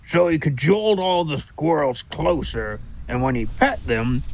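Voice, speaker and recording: A man's voice: a little high, creaky, a bit bright, a little hiss or hum, full character voice. A man voicing a boastful, grumpy giant talking squirrel in an audio drama, with a blustering storyteller's manner. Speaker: voice actor. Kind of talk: audio drama character acting.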